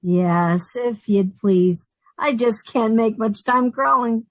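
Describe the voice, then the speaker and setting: A woman's voice: a little high, takes it slowly, no echo. A woman playing a wry, long-suffering young city woman who narrates and wisecracks sardonically in a comic old-time radio play. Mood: dry, sarcastic.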